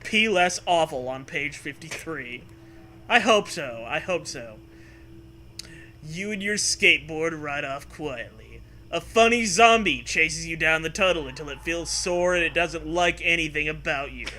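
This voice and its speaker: A man with a slightly high, intensely bright voice reads from a gamebook at a measured pace. He is a playful, theatrical co-host who drops in joking commentary. Faint noise sits behind him. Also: lively intonation. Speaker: podcast co-host.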